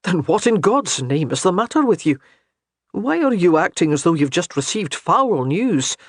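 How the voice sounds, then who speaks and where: A woman voicing a stern grown male clan laird, measured and warning, in a husky voice. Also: fairly low pitch, sing-song, no echo, studio quality.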